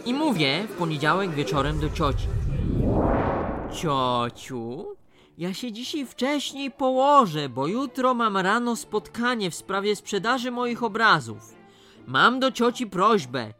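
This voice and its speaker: A man's voice: sits high, sing-song, a little slow, sharp tone, soft background music, heavily acted. An actor playing a put-upon, chatty painter complaining about his aunt in a radio play. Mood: exasperated, recounting. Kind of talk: radio play acting, storytelling.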